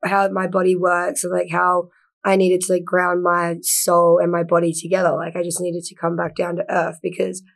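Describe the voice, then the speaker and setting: A woman's voice: lowish voice, monotone, normal speed. A young woman from Australia, an actor talking as a guest in a casual interview, chatty and full of "like". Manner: chatty, lots of "like", laughs easily.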